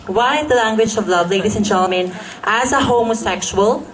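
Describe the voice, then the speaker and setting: An eighteen-year-old Filipino-accented contestant with a feminine voice, giving a poised, formal pageant answer. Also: female voice, medium pitch, moderate pace, crowd noise, rough recording. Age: teens.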